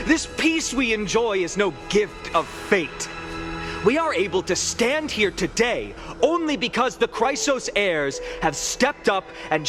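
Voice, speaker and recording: An actor playing a fervent young male demigod giving an impassioned, rousing speech in a game cutscene. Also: high-pitched. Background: game music.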